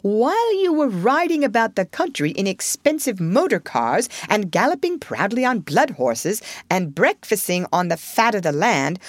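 A woman's voice: medium pitch, no room sound, pitched above the performer's own voice. A woman voicing a good-natured, sensible male rat in a storybook, earnest and fussily proper as a scolding friend.

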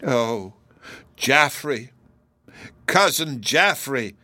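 A man voicing an anguished older gentlewoman in a classic novel audiobook, pleading mournfully and earnestly with drawn-out emphasis. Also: fairly high pitch, no room sound, voice pushed up.

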